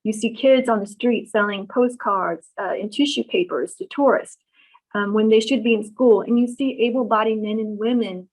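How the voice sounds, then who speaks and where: A middle-aged woman born in Vietnam, answering questions in an oral history interview, pausing often with ums and restarts. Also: fairly high pitch, darkish tone. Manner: thoughtful, many ums and restarts. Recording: dull lo-fi recording.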